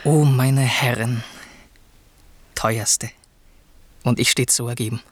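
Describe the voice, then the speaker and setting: An actor playing a devoted young prince who toils as a servant, speaking ornate classical radio drama verse in a lofty, declamatory way. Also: male voice, medium pitch, steady tone, slow, no echo. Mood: fervent.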